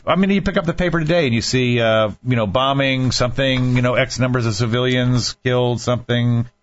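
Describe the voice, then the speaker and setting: A man hosting a casual talk show, rambling through news stories with frequent restarts and false starts. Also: medium pitch. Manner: rambling, halting with many restarts. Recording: dull lo-fi sound.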